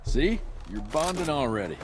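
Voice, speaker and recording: A man's voice: medium pitch, very animated, moderate pace, close to an everyday voice. A man playing a wry, curt vampire hunter in a video game cutscene, echoing with music behind. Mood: dry, impatient.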